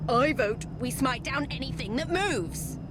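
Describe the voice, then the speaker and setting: High-voiced, brash warrior sister. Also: female voice, lively intonation, a little slow, heavy background noise. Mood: defiant. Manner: cheeky, combative. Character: brash, hot-headed, teasing young warrior sister. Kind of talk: video game voice acting.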